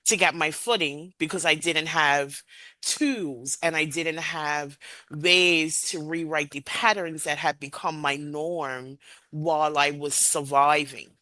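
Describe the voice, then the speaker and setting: A woman hosting a podcast interview, speaking in a measured, earnest way into a headset. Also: low-pitched, steady tone, sharp tone, no room sound. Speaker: podcast host.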